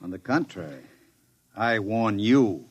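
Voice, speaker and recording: Suave, menacing low-voiced gentleman gangster. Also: lively intonation, unhurried, muffled recording. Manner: smooth, sardonic, mockingly courteous. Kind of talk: 1950s radio drama acting.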